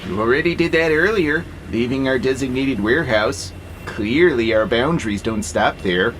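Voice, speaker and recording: An actor playing a gentle, philosophical freight robot in an audio drama, calmly persuasive and playfully sarcastic. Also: male voice, medium pitch, normal speed, slight background noise, close to an everyday voice. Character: gentle, wry, philosophical male freight-hauling robot, peaceable.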